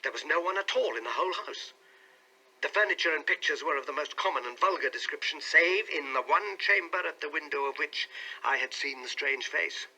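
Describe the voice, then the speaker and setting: A man's voice: fairly high pitch, fairly quick, sharp tone, husky, exaggerated voice, rough recording. A man playing an anguished, suspicious husband who recounts his troubles in an intense, earnest audiobook reading. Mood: bitter, hurt. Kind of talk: dramatic audiobook reading in character.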